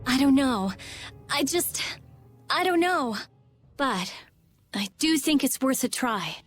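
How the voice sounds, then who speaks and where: A brainy, prickly teenage girl mage in a video game. Her high voice is brisk and moves up and down a lot. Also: animated, faint music behind, exaggerated voice. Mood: worried.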